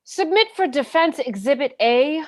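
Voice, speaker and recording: Woman acting a needling defense lawyer, lively pitch. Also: normal speed. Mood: formal. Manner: pointed, insistent questioning. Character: dogged, needling military defense lawyer cross-examining a witness. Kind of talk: dramatic script reading.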